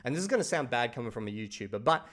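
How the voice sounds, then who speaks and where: A man's voice: medium pitch, big pitch swings, racing, a bit bright. A man, talking casually to camera about his routine, swearing freely and saying "bro" and "okay?" often. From Australia. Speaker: language-learning YouTuber.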